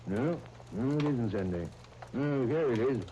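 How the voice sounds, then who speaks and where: Grumbling, affectionate English uncle, played by a man: older, blustering, warm low-lit timbre, vintage radio serial with surface noise. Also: lively intonation, a little slow, highs cut off, lightly acted. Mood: fond grumbling. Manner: gruff, old-fashioned exclamations. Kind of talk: 1930s radio serial acting. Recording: noisy 1939 radio transcription disc.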